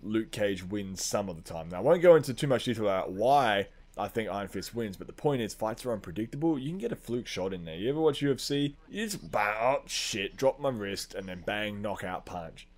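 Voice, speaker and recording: A man, co-hosting a podcast in a casual, animated, rambling and sweary debate about science fiction. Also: on the low side, fairly quick, hardly any pauses. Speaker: podcast co-host.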